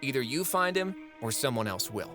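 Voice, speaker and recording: A man plays a cheeky minor god in a fantasy audio drama, all sardonic, casual banter and wheedling. His voice sits at an ordinary male pitch, with music clearly playing behind him. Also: expressive, fairly quick, lightly acted. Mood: pressing, irritated. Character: flippant, fast-talking minor trickster god, lately a frog.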